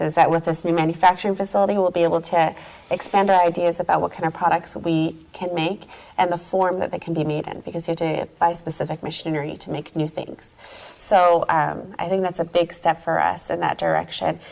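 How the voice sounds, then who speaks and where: A middle-aged woman chats easily about her work in a casual interview, upbeat and quick to laugh. Her voice sits a bit low and carries a gravelly fry. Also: darkish tone. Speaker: guest, company executive.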